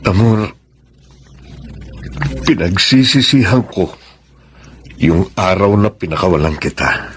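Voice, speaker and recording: An actor with a Filipino accent playing a remorseful, mournful middle-aged ex-husband in a radio drama. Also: male voice, low-pitched, animated, takes it slowly, long pauses, slight background noise. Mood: wistful. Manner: mournful, confessional. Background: music.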